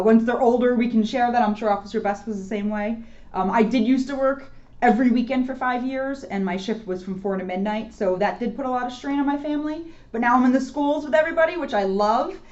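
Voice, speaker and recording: A woman's voice: on the high side, a little mellow. An American woman, a school police officer around her thirties or forties, talking informally to schoolchildren. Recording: dull lo-fi audio.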